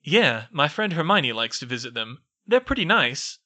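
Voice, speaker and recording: A man's voice: a little high, very animated, moderate pace, pushed and bright, voice pushed up. Man playing a nervous young boy wizard. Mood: earnest. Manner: nervous, stammering, urgent whispering.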